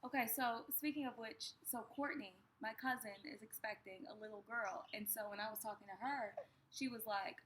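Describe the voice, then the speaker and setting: Woman, co-host, playful animated storytelling, slightly high voice, faint background noise. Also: fairly quick, poor audio. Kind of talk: casual conversation.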